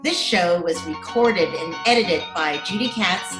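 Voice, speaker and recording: A woman's voice: fairly low pitch, measured pace. A woman announcer giving a scripted radio drama credits read. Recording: roomy home recording, music behind. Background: plucked guitar music.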